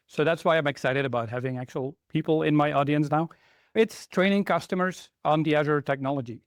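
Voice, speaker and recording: A man's voice: a little high, no room sound, clean studio sound. A middle-aged man from Belgium giving a conference talk to a live audience, chatty and often asking the room questions. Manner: conversational, asks the audience questions. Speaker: conference speaker, technical trainer.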